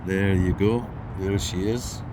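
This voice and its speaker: A man's voice: sits low, steady tone, takes it slowly. A man giving a casual hands-on product review as a video host, talking informally and directly to viewers on a handheld camera. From Canada. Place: outdoors in a parking lot and inside a parked car. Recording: noisy outdoor recording with car sounds.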